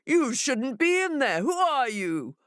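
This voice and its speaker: Woman doing a brash shouting jackal. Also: sits high, sing-song, takes it slowly, no room sound, clean studio sound, voice pushed up, full character voice. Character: proud male jackal.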